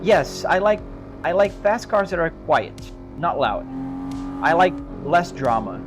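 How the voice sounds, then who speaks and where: A man with a Brazilian accent chats loosely with his live audience, looping back and hedging as he answers their questions. His voice sits a touch on the high side, and music plays clearly behind him. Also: big pitch swings, unhurried. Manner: easygoing, rambling, restarts and hedges. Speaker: sim racing live streamer. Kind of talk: casual chat answering live chat. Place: home sim racing rig. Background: music playing.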